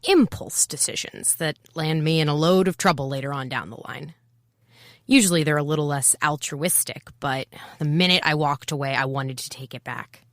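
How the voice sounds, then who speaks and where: Casting: sardonic woman detective in audio noir, lower-pitched, casual and confiding, impulsive, very dry studio sound. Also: fairly bright tone, no room sound. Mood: rueful. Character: wry, impulsive female private eye, over twenty-seven. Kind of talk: first-person noir audio drama narration and dialogue.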